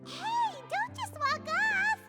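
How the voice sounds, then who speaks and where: A woman voicing a small, chirpy, excitable childlike companion in a video game, eager and perky. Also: very high-pitched, slow pace, in a large hall, over music, full character voice. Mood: indignant.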